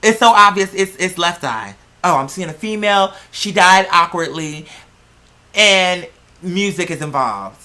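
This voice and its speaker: Female reaction vlogger. Bright, punchy voice, blunt and exasperated, casual delivery. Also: on the low side, unhurried. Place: indoors at a computer. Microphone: plug-in computer microphone.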